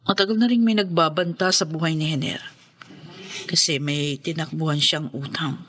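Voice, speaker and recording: A woman's voice: sits low, steady tone, moderate pace, a bit bright. A woman acting as a conflicted, resigned new widow in a Filipino radio drama.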